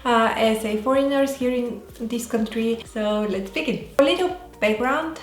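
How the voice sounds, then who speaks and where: A woman with a Bulgarian accent talking casually as a vlogger, in a halting way that often pauses mid-sentence. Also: on the high side. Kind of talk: casual talk to camera. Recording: roomy echo, faint music behind.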